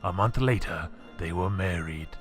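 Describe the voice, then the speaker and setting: A man's voice: deep voice, normal speed, soft background music. A man narrating a scripted horror story with dramatic storytelling delivery.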